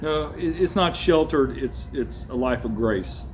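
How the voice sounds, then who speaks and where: Male interviewer, earnest, repeats himself, middle pitch, faint hiss. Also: animated, a little slow, darkish tone. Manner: earnest, repeats words often. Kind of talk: interview conversation.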